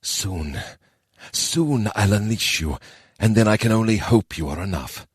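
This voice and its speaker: A man doing a quiet, anxious dragon-riding mage. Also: fairly low pitch, lively intonation, unhurried, slightly rough, no room sound, clean studio sound. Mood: inward. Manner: quiet, inward.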